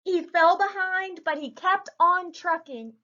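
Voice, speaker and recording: High-voiced woman reading a picture book. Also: slow. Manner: expressive, dramatic emphasis. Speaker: story reader. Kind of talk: children's picture book read-aloud. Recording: muffled lo-fi recording.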